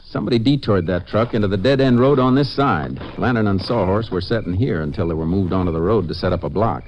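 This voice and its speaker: An actor as a deep-voiced, terse radio lawman. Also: quick pace, close to an everyday voice. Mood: focused, deducing. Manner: terse, businesslike, deadpan questioning. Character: sharp, methodical male lawman investigating a truck hijacking. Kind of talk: 1950s radio drama acting. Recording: muffled, noisy vintage radio recording.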